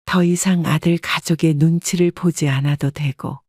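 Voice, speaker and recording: 차분하게 일인칭 사연을 읽는 여성. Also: female voice, sits low, fairly even intonation, moderate pace, a little mellow, dry recording, studio quality. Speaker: storyteller. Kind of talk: scripted first-person narration.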